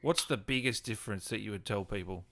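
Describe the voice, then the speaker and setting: A man's voice: fairly low pitch, fast, pushed and bright. A man hosting a casual livestream interview, asking questions in an informal, chatty way. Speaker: host interviewer.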